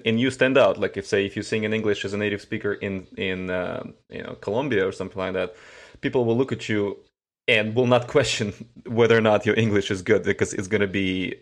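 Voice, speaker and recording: A man's voice: lowish voice, fairly quick. A man hosting an interview, talking casually in a chatty way and thinking aloud with many asides.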